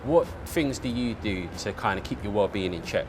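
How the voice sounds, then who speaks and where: A man's voice: fairly low pitch, lively intonation, moderate pace, noisy background. A man hosting a casual interview, asking questions in an easygoing, encouraging way. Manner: easygoing, encouraging with short replies. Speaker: interview host. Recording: music clearly underneath.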